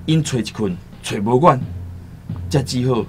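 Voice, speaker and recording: A Taiwanese-accented actor playing a cautious, worldly returned seafarer in a radio drama, solemn and deliberate. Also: male voice, mid-range voice, a bit bright, natural voice. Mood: grave, cautious. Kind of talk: radio drama acting with first-person storytelling. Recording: music behind the voice.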